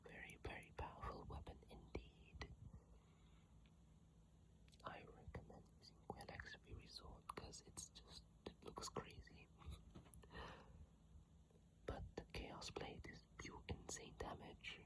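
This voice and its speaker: An ASMR creator whispering in a breathy, halting, informal way, commenting on games close to a phone mic. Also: rough recording. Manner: slow, hushed, halting and informal. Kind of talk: whispered ASMR reading and rambling game commentary. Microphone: iPhone mic, held close.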